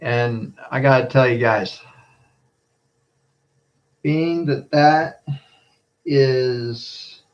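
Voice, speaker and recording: Slow-talking man on a weather livestream. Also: medium pitch, creaky, dull audio. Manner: halting, repeats phrases, addresses viewers as guys. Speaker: storm spotter streamer. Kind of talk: casual live weather stream commentary.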